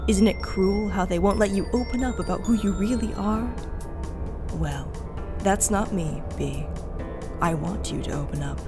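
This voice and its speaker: An actress playing a manipulative, coaxing dating-game villainess in an audio drama, with an intimate, persuasive manner. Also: female voice, mid-range voice, moderate pace, over music, close to an everyday voice.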